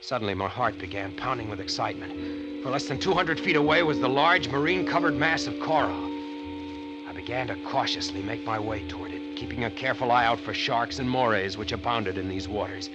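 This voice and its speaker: An actor playing an earnest treasure-hunting diver who tells his eerie adventure with dramatic, deliberate 1940s radio suspense delivery. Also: mid-range voice, animated, lo-fi sound, lightly acted. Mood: alarmed, rising dread. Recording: old radio broadcast with loud music.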